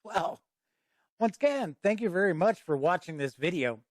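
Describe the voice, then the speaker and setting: A man's voice: high-pitched, animated, normal speed, dry recording, studio quality. A man hosting a casual, unscripted video review, speaking conversationally and leaning on key words for emphasis. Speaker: tabletop game reviewer and channel host.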